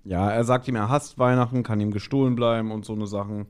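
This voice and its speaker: A man's voice: on the low side, steady tone, fast, smooth. A man co-hosting a podcast, casually retelling a story and acting out the quotes as he goes. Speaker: podcast co-host. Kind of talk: casual chat, retelling an audio drama episode.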